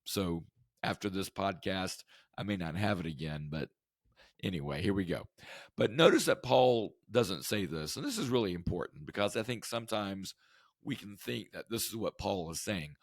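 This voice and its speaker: A man hosts a devotional podcast in a low, gravelly voice that is hoarse from the flu. He teaches earnestly and conversationally and keeps asking the listener rhetorical questions. The recording is close and dry, with no room sound. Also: lively intonation, normal speed, dry recording. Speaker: podcast host.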